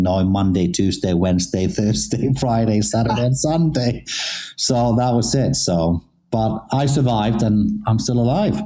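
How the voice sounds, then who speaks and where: Deep, mellow middle-aged man. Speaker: interviewed athlete, former figure skater. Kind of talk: casual interview storytelling.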